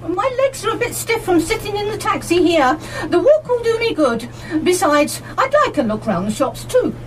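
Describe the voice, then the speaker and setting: A woman's voice: very high voice, sing-song, moderate pace, far from the mic. A woman playing a plain-spoken, cheerful holiday companion in a live radio play. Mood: game, grumbling.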